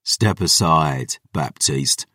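A man voicing a bitter, scornful fallen warrior in an audiobook, low and weary with a sardonic manner. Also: normal speed, studio quality. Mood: threatening. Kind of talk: dramatic audiobook fantasy dialogue. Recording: roomy echo, distant from mic.

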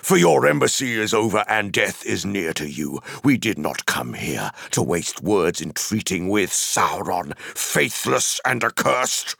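A man voicing a stern, defiant wizard in a fantasy story, declaiming with forceful, indignant authority. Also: on the low side, very animated, slow pace, creaky, dry recording, clean studio sound, pitched above the performer's own voice, exaggerated voice. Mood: defiant wrath.

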